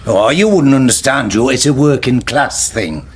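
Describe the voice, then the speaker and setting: A man's voice: medium pitch, lively intonation, normal speed, close to an everyday voice. A man playing a blunt, defensive brother. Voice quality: blunt, combative. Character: defensive working-class older brother. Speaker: older brother.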